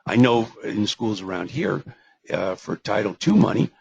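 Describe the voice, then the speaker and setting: A man's voice: lowish voice, animated, normal speed, creaky, darkish tone, slightly rough, dry recording. An older man presenting a live webinar on special education, folksy and digressive, with rhetorical questions and many fillers, and he is losing his voice. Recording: dull, lo-fi webinar audio.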